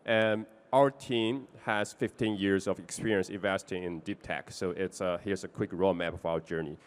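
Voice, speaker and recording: A man from Taiwan with a Taiwanese accent presenting his investment fund in a business pitch, with small non-native grammar slips. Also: fairly even intonation, moderate pace.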